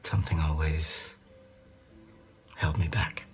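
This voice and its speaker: A regretful man, very deep, flat and slow, soft music behind. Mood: wistful. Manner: soft, half-whispered.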